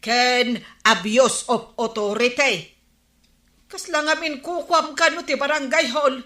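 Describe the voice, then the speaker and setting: A woman's voice: high-pitched, fairly even intonation, slow, heavily acted. A woman with an Ilocano accent playing a scolding, worried villager in a radio drama, emphatic and nagging. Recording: bright, echoey room.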